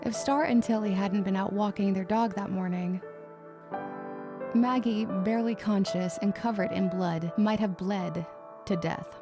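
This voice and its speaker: Woman, story narrator, scripted delivery, slow pace, medium pitch. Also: over music. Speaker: audiobook narrator.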